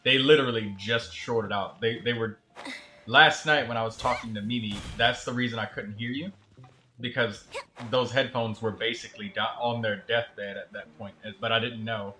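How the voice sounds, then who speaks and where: A man chatting casually as a game streamer, telling a story in a rambling, halting way. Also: medium pitch, fairly even intonation, sharp tone, slight background noise. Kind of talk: casual livestream chat.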